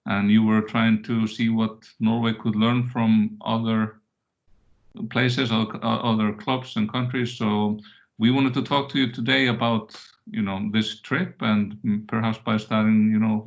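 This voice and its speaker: A man's voice: lowish voice, flat delivery, a little slow, gravelly. A man interviewing a guest informally over a video call. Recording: dull, lo-fi online call audio.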